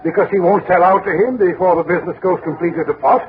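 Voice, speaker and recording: Actor doing fussy gossipy businessman, kinda high voice, earnest n hesitant, old 60s radio show, really tinny sound. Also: fast talker, lightly acted. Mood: confiding, disapproving. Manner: hesitant, repeats phrases, emphatic. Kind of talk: 1960s radio drama acting. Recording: muffled, noisy 1960s radio broadcast recording.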